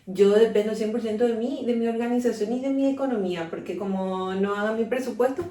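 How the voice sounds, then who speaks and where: A woman's voice: fairly high pitch, fairly quick, dark tone. Young Paraguayan woman podcasting, distant and echoey. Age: 20s. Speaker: podcast co-host. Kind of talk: casual conversational podcast. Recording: roomy echo, distant from the mic.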